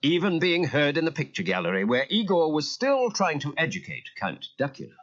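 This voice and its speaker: Theatrical male storyteller with lively pitch. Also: brisk. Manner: theatrical, expressive. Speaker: narrator. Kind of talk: dramatic scripted children's storytelling. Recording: muffled cassette transfer.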